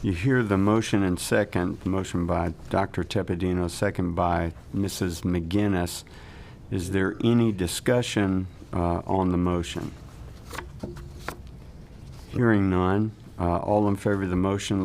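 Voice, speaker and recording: A very low-voiced man chairs a board meeting, running motions in a formal, procedural way. Faint background noise sits under the room mic. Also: steady tone, a little slow, vocal fry, a little mellow.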